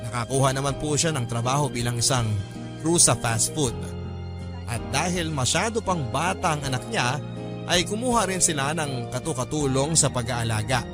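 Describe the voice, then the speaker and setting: A man narrating a radio drama as a storyteller, in a measured, polite, scripted way. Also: medium pitch, music in the background, lightly acted. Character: male radio storyteller recounting a personal letter. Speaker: narrator reading a listener's letter in a radio drama.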